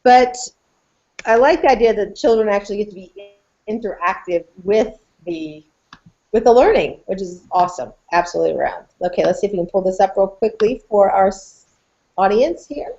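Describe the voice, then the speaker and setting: A woman's voice: mid-range voice, animated, normal speed, warm tone. Woman on a dull video-call recording. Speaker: podcast co-host and educator. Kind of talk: casual chat with tool demonstration. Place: small indoor office, video call. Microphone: computer microphone on video call.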